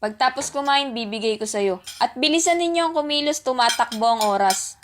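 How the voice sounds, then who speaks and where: A woman's voice: sits high, a little fast, natural voice. A woman playing a caring, hard-pressed mother in an amateur radio drama, with faint noise behind her. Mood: brisk, caring. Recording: faint noise, clicks and clatter.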